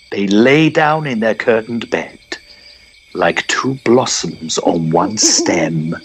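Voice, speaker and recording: A man narrating a poem dramatically, in a measured and expressive storyteller's manner. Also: a little high.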